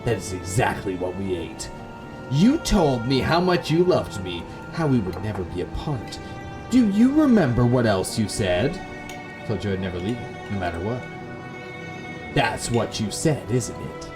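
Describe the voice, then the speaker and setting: Obsessive, lovesick young woman acted by a man, intense and clingy, low-set voice with lively swings, gothic horror game. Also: measured pace, over music. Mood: hurt, possessive. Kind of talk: gothic horror visual novel dialogue.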